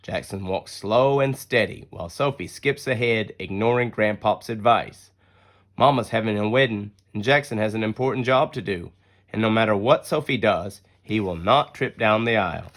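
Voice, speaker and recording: A man reading a children's picture book aloud as an expressive, deliberate storyteller. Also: on the low side. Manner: deliberate storytelling with acted-out dialogue. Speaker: story reader.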